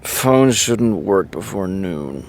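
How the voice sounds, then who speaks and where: A man's voice: low voice, big pitch swings, slow, a little mellow, exaggerated voice. An actor playing a sarcastic, sleepy young man who hates mornings, in an audio drama. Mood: groggy. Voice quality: dry, sarcastic.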